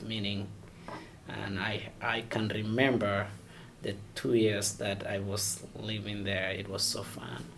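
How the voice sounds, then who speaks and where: A man from Somalia, answering interview questions haltingly and searching for words. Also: on the low side, vocal fry, noisy background. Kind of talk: oral history interview.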